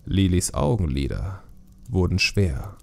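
A man's voice: sits low, very animated, takes it slowly, vocal fry, a little mellow. A man reading a scripted fantasy story as an audiobook narrator.

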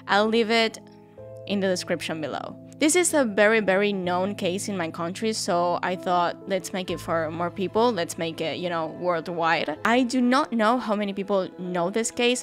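A young woman from Argentina, a native Spanish speaker with an Argentine accent, telling a true crime story at home into a studio microphone with chatty asides to viewers. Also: medium pitch. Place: home room, indoors. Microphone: studio mic on stand.

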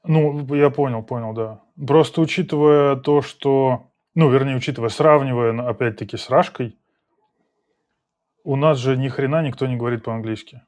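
A man's voice: mid-range voice, moderate pace, darkish tone. A man in his thirties hosting a casual video call chat from a small room at home, laid-back and slangy, laughing easily. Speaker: podcast host.

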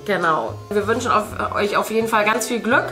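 A woman's voice: mid-range voice, brisk, pushed and bright. A woman in her thirties chatting casually to young viewers as the host of a family video, explaining things directly to them. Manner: speaks to children, addressing viewers directly. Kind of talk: casual chat, explaining to viewers while painting. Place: indoor room at a table. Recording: roomy sound, faint music. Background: music.

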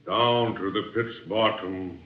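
An actor playing a sinister, mocking guard who speaks with ominous gloating in a vintage radio drama. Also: male voice, on the low side, fairly even intonation, takes it slowly. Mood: ominous, commanding. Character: sinister, mocking guard leading prisoners to death. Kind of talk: 1940s radio acting. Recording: echoey, noisy, muffled old radio.

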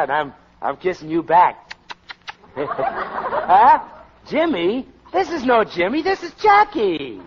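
Lively, breezy club playboy. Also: male voice, a little high, full character voice. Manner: breezy, chummy, slangy. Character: jolly, slangy club playboy pal. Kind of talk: 1940s radio comedy acting. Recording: muffled, noisy 1940s radio recording.

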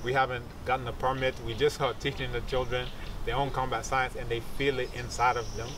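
A man's voice: mid-range voice, level tone, fairly quick, recorded from a distance. A man in his thirties talking informally as a teacher in an interview, explaining things with asides. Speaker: researcher and martial arts teacher. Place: outdoors among trees and bushes. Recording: outdoor recording with insects and traffic noise.

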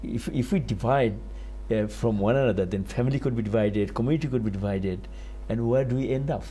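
An older man from Bangladesh, speaking as an author on a TV panel in a studio, measured and rising for emphasis. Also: mid-range voice, dark tone, slight background noise. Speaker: author guest. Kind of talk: TV panel discussion. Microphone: lapel.

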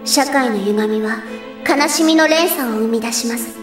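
Woman doing anime schoolgirl detective, high voice, bossy n sure of herself, music behind. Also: measured pace, close to an everyday voice. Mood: triumphant, theatrical. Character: self-assured, bossy teenage schoolgirl detective. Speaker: voice actress. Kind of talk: anime audio drama acting.

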